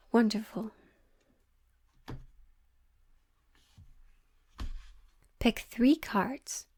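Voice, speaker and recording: A woman playing a coy, theatrical witch who reads tarot, teasing and mysterious, coaxing the listener with dramatic pauses in an audio roleplay. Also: on the high side, warm tone. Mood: coaxing, mystical. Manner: slow, coaxing, endearments, dramatic pauses, breaks into near-whispers. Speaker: tarot reader.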